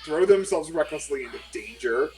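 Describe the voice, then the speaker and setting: A man's voice: a little high, animated, normal speed, a little mellow, close to an everyday voice, rough recording. A man voicing a rattled, foul-mouthed woman corporate lawyer who is exasperated and defensive. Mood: frantic, exasperated. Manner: swearing, flustered, exasperated.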